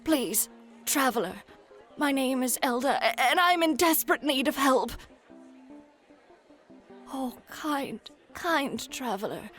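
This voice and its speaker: Elderly villager for fantasy roleplay, played by a woman: very high voice, anxious, kindly, earnest pleading. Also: animated, slow pace, long pauses, a bit bright, soft background music, full character voice.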